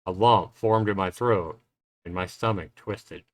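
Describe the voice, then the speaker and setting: A man hosting a livestream, reading a visual novel's first-person story aloud in an expressive, suspenseful way. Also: low-pitched, measured pace. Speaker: livestream host. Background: game music.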